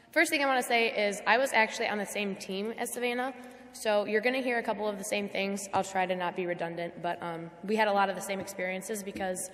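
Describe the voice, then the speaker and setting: A teenage girl with a slightly hoarse, scratchy voice, giving an earnest, heartfelt church testimony with frequent ums. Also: mid-range voice, a bit bright. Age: teens. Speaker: youth testimony speaker. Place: church sanctuary. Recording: long reverb of a church hall.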